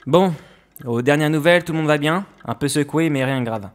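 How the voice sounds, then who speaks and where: A man's voice: a little high, a little fast, faint music behind, close to an everyday voice. A man playing a polite, insinuating ski-resort staff member in a comedic audio drama. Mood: ingratiating.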